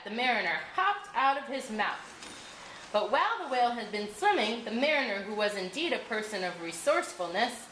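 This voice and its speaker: A woman reading a scripted puppet show story aloud as narrator, with expressive delivery. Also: fairly high pitch. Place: school room. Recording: roomy room sound with faint noise.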